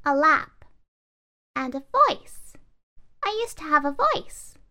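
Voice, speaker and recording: A woman voicing a timid, hesitant girl's doll that speaks softly and high. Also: expressive, slow pace, exaggerated voice. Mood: wistful. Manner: hesitant, soft.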